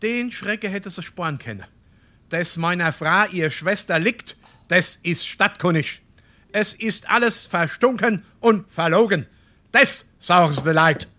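A sarcastic head of household in a dialect comedy, scolding in broad Darmstadt Hessian. His voice is fairly high and sharply forceful, with an exasperated, mocking edge. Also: unhurried. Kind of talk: dialect stage comedy acting.

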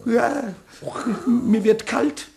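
A man playing a childish, mischievous little kobold in a children's radio play, boastful and whiny, stammering and repeating words excitedly. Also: very high voice, drawn-out words, vocal fry, full character voice. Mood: sulky, pleading.

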